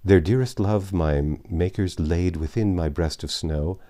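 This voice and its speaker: A man narrating a classic novel aloud in a measured, expressive storytelling manner. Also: low-pitched. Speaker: audiobook narrator.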